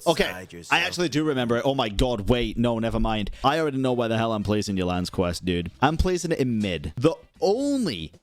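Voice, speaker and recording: A man's voice: mid-range voice, very animated, fairly quick. A young man giving casual, opinionated gaming commentary in a blunt, slangy manner. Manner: blunt, slangy, frequently swearing. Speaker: gaming YouTuber. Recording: music playing faintly behind.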